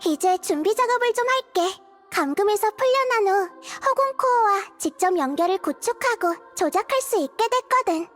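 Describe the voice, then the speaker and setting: Game role: a wise, gentle childlike goddess, tiny very high voice, reflective and earnest, music behind. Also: female voice, animated, moderate pace. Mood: resolved, thoughtful. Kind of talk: video game character dialogue.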